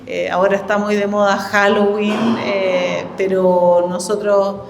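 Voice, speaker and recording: A middle-aged woman with a Chilean accent giving an informal welcome speech as a director, halting as she searches for words. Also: mid-range voice, flat delivery, creaky, crowd noise. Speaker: library director giving an opening address. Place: indoor exhibition event in a library. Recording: echoey hall, reverberant, faint background noise.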